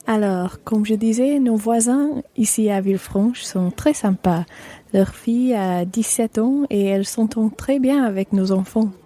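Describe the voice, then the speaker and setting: A chatty French mother acted by a woman in a language-course radio play. Her voice is fairly high and very soft-toned, with an inquisitive, conversational manner. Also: a little slow. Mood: friendly, curious. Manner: conversational, questioning.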